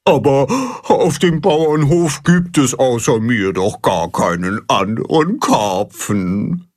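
A man's voice: medium pitch, animated, slow, a little mellow. A man voicing a sad, lonely male carp in a children's story, tearful and whining. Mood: whiny. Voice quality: bubbly, tearful.

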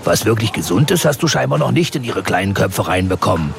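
Grumbly sarcastic kita boss, gravelly, swoopy delivery. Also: male voice, lowish voice, very animated, fairly quick, slight background noise. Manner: sardonic, grumbling. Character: dry, sarcastic male kindergarten leader.

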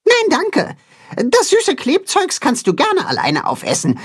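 Piepsiger zarter Maulwurf. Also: male voice, extremely high pitch, wildly swooping pitch, a little slow, pitched above the performer's own voice, full character voice. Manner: zarte Stimme. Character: kleiner männlicher Maulwurf, zart und bestimmt.

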